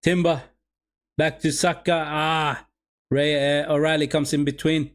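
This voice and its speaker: A man's voice: fairly high pitch, flat delivery, unhurried. A man whose first language is Somali, running a live football watch-along and calling the game for his viewers, often repeating his phrases. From Sweden.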